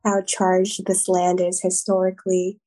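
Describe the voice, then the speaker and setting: A woman's voice: fairly low pitch, completely flat delivery, measured pace, mellow. An American woman speaking articulately and earnestly as a zine founder in a thoughtful interview.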